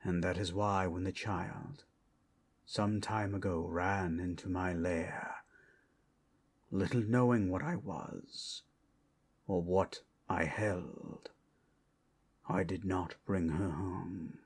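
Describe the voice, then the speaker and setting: A man playing an ancient, solemn, protective dragon guarding its hoard in a dramatic ASMR roleplay, with a husky, breathy delivery and grave, deliberate pauses. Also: low-pitched, steady tone, heavily acted. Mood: solemn, reflective.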